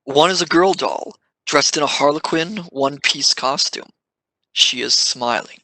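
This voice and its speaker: Gravelly, bright male narrator. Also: on the high side, measured pace, dry recording, low-quality recording. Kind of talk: scripted narration.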